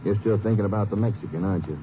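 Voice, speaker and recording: An actor as a brisk, plain-spoken lawman. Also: on the low side, natural voice. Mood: easygoing. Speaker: Texas Ranger partner. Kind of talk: 1950s radio drama acting. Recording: noisy, muffled old radio broadcast.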